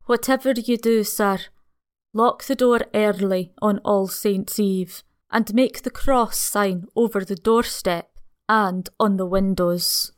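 A man reading aloud and putting on the voice of an old country housekeeper, a placid, superstitious woman with a who speaks slowly and ominously. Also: high-pitched, steady tone, clean tone, pitched above the performer's own voice. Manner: slow, hushed, ominous.

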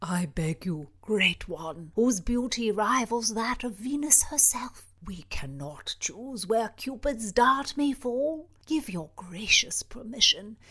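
A smooth-talking greying nobleman, as a woman narrator puts him on who pleads and flatters at a slow, unhurried pace. His voice sits a little high for a man, and he wheedles in a storybook reading. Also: full character voice.

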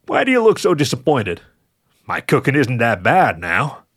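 A man's voice: medium pitch, wildly swooping pitch, moderate pace, a bit bright, voice pushed up. A man voicing a cheeky, flirty male fox who gets defensive and stammers playfully in an audio story. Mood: eager. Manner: stammering, hesitant when embarrassed, playful.

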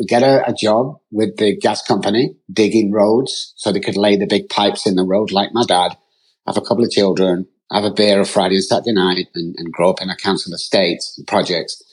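A man's voice: fairly low pitch, husky, low-quality recording. A middle-aged man with a Manchester accent, a podcast guest telling his life story in an open, rambling, earnest way. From England.

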